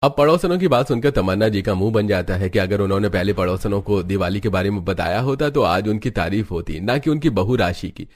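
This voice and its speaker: A man's voice: lowish voice, a little fast, few pauses. A man narrating a scripted family cartoon story as its storyteller. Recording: music bed under the voice.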